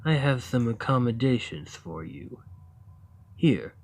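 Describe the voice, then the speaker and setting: Male actor as friendly cave-dwelling giant for ASMR roleplay: very slow delivery, mid-range pitch, awkward, naive, earnest. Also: big pitch swings. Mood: helpful. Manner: hesitant, earnest, gently upbeat, slow. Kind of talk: ASMR roleplay, acted monologue to the listener.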